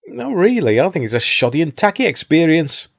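Man playing a whiny sidekick, high, lively, fussy. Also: brisk, gravelly, no echo, clean studio sound, full character voice. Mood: complaining. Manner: whiny and complaining. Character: fussy, put-upon male sidekick.

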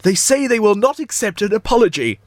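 Panicky nutty scientist, super high and swoopy, comedy audio thing. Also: male voice, sing-song, a little fast, no room sound. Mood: explaining. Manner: melodramatic, exclamatory. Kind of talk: comedy audio sketch acting.

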